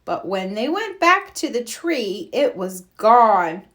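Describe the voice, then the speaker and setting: High-voiced, slow-paced woman storyteller. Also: very animated, fairly bright tone. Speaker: read-aloud storyteller. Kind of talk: children's picture book narration.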